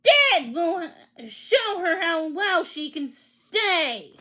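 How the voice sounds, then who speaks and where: A bossy young storybook girl, acted out by a woman, with a high voice that swings up on key words. She is emphatic and commanding, measuring out her orders slowly. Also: very animated, pushed and bright, heavily acted. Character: bossy, organized young girl making plans.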